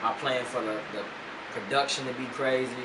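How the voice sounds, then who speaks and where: Laid-back young rapper, roomy distant mic. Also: male voice, mid-range voice, steady tone, a little fast, heavy background noise. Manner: laid-back, loose slang with "man" fillers. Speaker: rapper guest. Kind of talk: casual interview chat. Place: radio studio.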